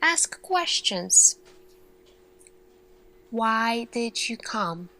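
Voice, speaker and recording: A woman performing an ASMR sci-fi roleplay as an earnest, thoughtful lead scientist, speaking deliberately with pauses. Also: a little high, very animated, pushed and bright. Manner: slow, deliberate, pausing, questioning delivery. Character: earnest, thoughtful female lead scientist addressing her team.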